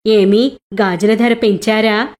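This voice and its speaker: A woman's voice: mid-range voice, steady tone, slow, darkish tone, natural voice. A woman voicing a devout, fatherly older merchant in an earnest way for a moral storybook tale. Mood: questioning. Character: devout wealthy merchant, fatherly older man with grown daughters-in-law.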